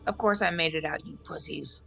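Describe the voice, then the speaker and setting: A woman's voice: deep voice, a little fast, gravelly, a bit bright, faint music behind. A woman talking in character as a player in a tabletop roleplaying game.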